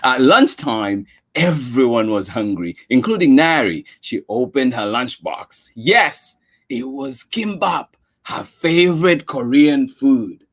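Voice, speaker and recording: A man reads a picture book to young listeners, cooing and sighing along with the story. His pitch swings widely and his pace is slow and deliberate. He stops now and then to talk to the children. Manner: expressive, sighs and coos at the story. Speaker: storytime reader. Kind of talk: children's read-aloud with asides.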